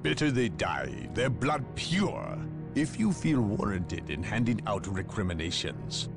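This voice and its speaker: A secretive inquisitor who weighs every word and lectures in a chill, guarded tone. The voice swings widely up and down as it presses its points. A full orchestral score plays under him. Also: male voice, fairly low pitch, very animated, creaky, over music, heavily acted. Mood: cold. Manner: measured, guarded, coldly lecturing. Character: cold secretive male inquisitor, middle-aged, unyielding. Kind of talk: video game cutscene voice acting. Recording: voice over orchestral game score.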